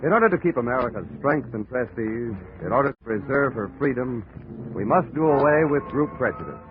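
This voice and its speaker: A man's voice: mid-range voice, lively intonation, a little fast, phone-quality sound. A man reading scripted announcements as a 1940s radio announcer. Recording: band-limited old radio recording. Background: music.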